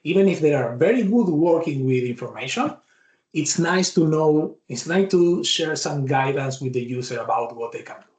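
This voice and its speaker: Argentine guy teaching a bootcamp, spanish accent, chatty, thinks out loud, echoey room. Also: on the high side, expressive, moderate pace. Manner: chatty, thinks aloud, restarts words. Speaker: bootcamp instructor. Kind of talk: live software demo walkthrough.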